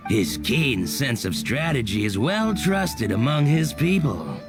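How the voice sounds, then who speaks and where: An actor playing a composed, knowing harbor local in a video game, explaining things in a measured, slightly teasing way. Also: medium pitch. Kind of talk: English video game voice acting. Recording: game music behind voice.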